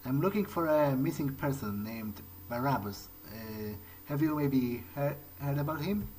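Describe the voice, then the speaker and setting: A man's voice: mid-range voice, animated, a little slow, darkish tone, a little hiss or hum. A man roleplaying in character as he plays a video game, musing to himself in a hesitant, conversational way. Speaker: let's player. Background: game soundtrack music.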